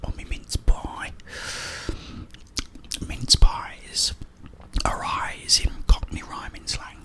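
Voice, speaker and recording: A man whispering breathily in a hushed, unhurried ASMR ramble while eating. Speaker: ASMR video creator.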